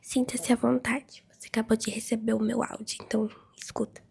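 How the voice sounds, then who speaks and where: Brazilian woman, podcast host, hoarse from flu; chatty breathy whisper, fry-heavy, slightly high-pitched. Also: level tone, brisk, darkish tone. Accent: Brazilian Portuguese. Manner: chatty, giggly, talks straight to listeners. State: hoarse, losing her voice from a flu. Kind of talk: casual voice-message chat.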